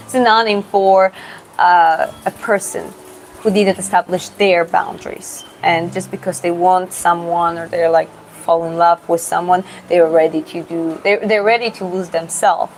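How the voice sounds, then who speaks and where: A woman's voice: lowish voice, steady tone, unhurried, slight background noise, low-quality recording. A young woman from Georgia with a Georgian accent, answering viewer questions in a casual, hesitant way and often restarting her words. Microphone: phone or camera close up.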